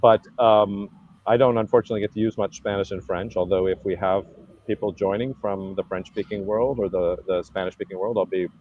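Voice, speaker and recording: Low-voiced guy rambling through an interview, lots of uh and restarts, dull lo-fi sound. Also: fairly even intonation, darkish tone, a little hiss or hum. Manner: halting, with many restarts and fillers. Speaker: convergence organizer. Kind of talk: casual interview talk. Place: Thailand.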